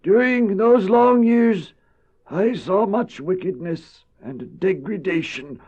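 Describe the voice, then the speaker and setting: A man's voice: sits high, a little mellow, slightly rough, full character voice. A man playing a solemn, ancient patriarch who recounts his life in a dramatized audio narration. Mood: solemn, regretful. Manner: solemn, measured. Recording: dull old cassette, music underneath.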